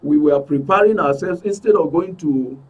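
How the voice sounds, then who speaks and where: Husky Nigerian man, picked up from afar. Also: on the high side, normal speed, far from the mic, muffled recording. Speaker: studio guest, aggrieved club member. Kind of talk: spoken account in a broadcast interview. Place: radio and TV studio.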